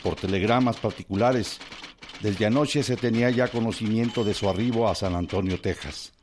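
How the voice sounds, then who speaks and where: Actor as a low-voiced period newsman. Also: moderate pace, background noise, close to an everyday voice. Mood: formal, newsy. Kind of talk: formal period news reading.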